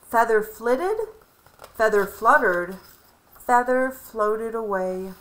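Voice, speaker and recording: Bright, animated woman reading a storybook. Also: fairly high pitch, poor audio. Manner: animated, emphatic, deliberate. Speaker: storybook reader. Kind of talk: expressive read-aloud of a children's picture book.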